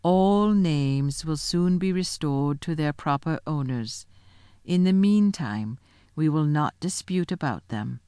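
A woman voicing a majestic male lion king in a fantasy story, grave and measured, with a low growl. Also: expressive, mellow, clean tone, no echo, studio quality. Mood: calm authority. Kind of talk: audiobook fantasy character voice.

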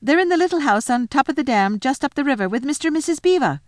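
A woman's voice: high-pitched, lively intonation, fast talker, no room sound, studio quality, voice pushed up. A woman voicing a nervous, eager schoolboy in a storybook, breathless and pleading.

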